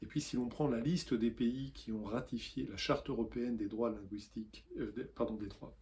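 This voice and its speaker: A man from France speaks earnestly to camera as a weekly columnist. His voice is mellow and warm, at a middling pitch, and he pauses and restarts often as he makes his case. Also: steady tone. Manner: earnest, with frequent hesitations. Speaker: newsletter columnist. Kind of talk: opinion video commentary.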